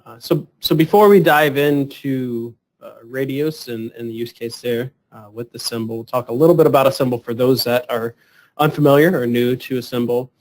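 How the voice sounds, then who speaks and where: A man hosting a webinar, introducing a product in a halting way with many fillers and repeated words. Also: mid-range voice, rough recording. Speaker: webinar host. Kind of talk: semi-scripted product introduction.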